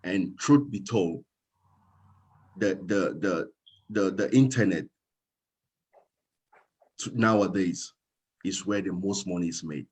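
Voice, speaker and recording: A man's voice: low voice, takes it slowly, long pauses, darkish tone, breathy edge, rough recording. A young man from Cameroon speaking as a guest in a casual interview, hesitant, with many fillers and restarts. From Cameroon, Northwest. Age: young adult.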